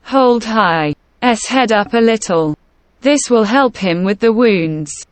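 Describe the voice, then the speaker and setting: Woman player narrating her character, calm, dry sound. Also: fairly high pitch, slow pace, dry recording.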